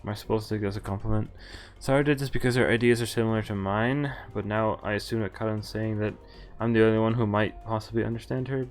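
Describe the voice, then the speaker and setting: A man's voice: on the low side, fairly even intonation, quick pace, few pauses. A man streaming a visual novel, reading the narration aloud casually, with stumbles and asides. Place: at home, streaming. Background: faint game music.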